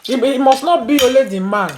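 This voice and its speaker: A woman's voice: high-pitched, brisk, darkish tone. A woman with a Nigerian accent hosting a mukbang vlog, chatting casually as she eats. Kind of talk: casual chat while eating. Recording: roomy echo, faint noise, dish clinks. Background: clicks, cutlery and dishes.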